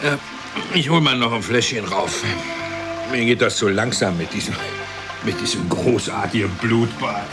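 An actor playing a smug, bullying middle-class husband in a radio play, with a sneering, condescending, grumbling delivery. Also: male voice, lowish voice, moderate pace, music in the background, lightly acted. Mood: scornful, impatient.

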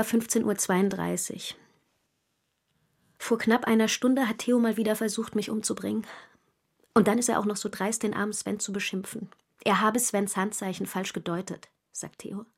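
An actress playing a troubled, secretive woman confiding in her diary in a radio drama, intimate and deliberate. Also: mid-range voice, fairly even intonation, creaky, breathy edge. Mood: fearful, confiding. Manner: intimate, deliberate, dropping into whispers. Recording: faint music behind the voice.

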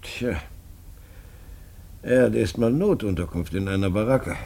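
Older father for radio drama: stubborn, proud, husky with a creaky edge, animated pitch. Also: unhurried, heavily acted. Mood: weary, reassuring. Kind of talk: German radio drama acting.

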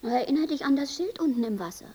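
A woman playing a naive, puzzled angel in a radio play, with a soft, breathy voice and a plain, questioning manner. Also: high voice, animated, quick pace. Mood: contrite.

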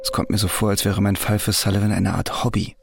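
A man's voice: lowish voice, level tone, fast, creaky. An actor playing a numbed, embittered male prisoner in a radio drama, talking in a wry, detached way. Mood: bitter. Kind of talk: radio drama acting with inner monologue.

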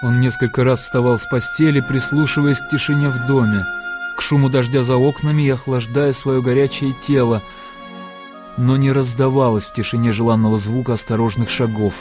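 A man narrating a classic story for a radio play in an expressive literary style. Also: medium pitch, fairly quick, over music.

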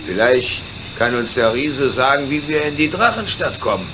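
A man playing a calm, fearless, good-natured engine driver in a German radio play, speaking reassuringly. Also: medium pitch, moderate pace, very noisy, lightly acted.

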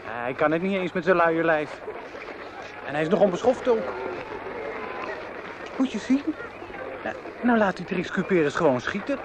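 An actor playing a chatty young skater in a radio play, gossipy and scornful in an eager way. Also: male voice, high-pitched. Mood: indignant. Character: chatty, mocking young male skater friend. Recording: noisy outdoor-scene radio play recording.

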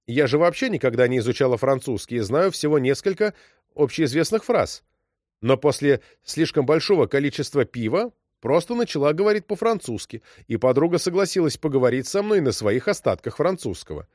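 A man's voice: medium pitch, very animated, fairly quick, a little mellow, dry recording. Man narrating stories in a dry close sound. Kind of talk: scripted narration.

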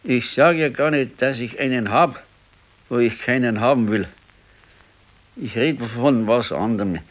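An actor playing a brooding, stubborn old working-class pensioner and husband with a Bavarian accent in a radio play. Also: male voice, medium pitch, lightly acted. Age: older. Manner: slow, ruminating, stubborn. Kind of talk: 1970s naturalistic dialect radio play.

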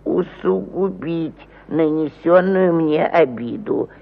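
A man's voice: fairly high pitch, creaky, full character voice. An actor playing a pompous, aggrieved provincial landowner who ponderously reads out his petition in a theatrical radio play style. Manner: pompous, ponderous, with stumbles over words. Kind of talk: theatrical mid-century radio play acting. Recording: phone-like narrow-band old 1950 radio recording.

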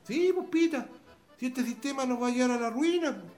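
A plain-spoken Chilean countryman acted by a man for radio theatre, scornful of the rich. His voice sits high and leaps about in pitch, with drawling exclamations over a music bed. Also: animated, darkish tone. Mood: fed up, preaching. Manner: folksy rural speech with drawn-out exclamations. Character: outspoken rustic farmhand, idealistic and plain-spoken, scornful of the rich. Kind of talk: radio drama acting.

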